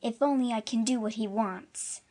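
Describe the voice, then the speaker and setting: A woman voicing a tender-hearted little girl with a breathy voice, earnest and emotional, in a dramatic audiobook. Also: on the high side, moderate pace, warm tone, natural voice. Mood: earnest, humble.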